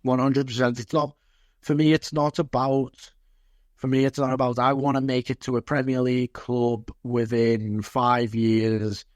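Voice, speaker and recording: A man's voice: mid-range voice, flat delivery, unhurried, dry recording. A man from Liverpool speaking as an interview guest and football coach, reflective and earnest, repeating phrases for emphasis. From Liverpool, England.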